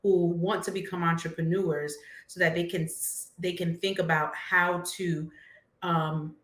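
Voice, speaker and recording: A woman's voice: on the low side, fairly even intonation, a little slow. A woman, answering interview questions in a friendly way with frequent filler words. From Brooklyn, New York. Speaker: guest, finance entrepreneur. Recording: echoey room.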